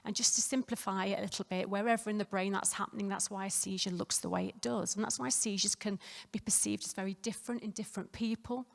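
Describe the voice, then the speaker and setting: Croaky nurse lecturing in a hall. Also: female voice, medium pitch, fairly even intonation, fast talker. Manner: conversational, many fillers and restarts. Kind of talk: conference talk. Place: hotel conference room.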